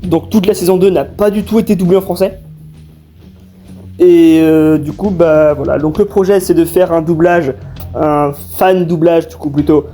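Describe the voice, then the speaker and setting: A young man explains a project informally in a slightly high voice. His tone is soft and mellow, and his delivery is halting, over faint music. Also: steady tone. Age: young adult. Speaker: fan dub creator. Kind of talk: informal question-and-answer explanation.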